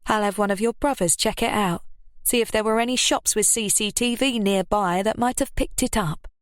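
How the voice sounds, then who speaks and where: A woman reading an audiobook, voicing a calm, controlled woman who asks pointed questions in an even tone. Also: mid-range voice, normal speed, dry recording, studio quality, voice pushed up, close to an everyday voice. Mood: cool.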